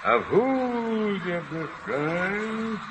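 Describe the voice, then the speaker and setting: Groggy taunter, drawn-out delivery, 1930s radio play, clearly noisy recording. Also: male voice, high voice, animated, exaggerated voice. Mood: woozy gloating. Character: groggy, gloating man drifting under anesthetic gas.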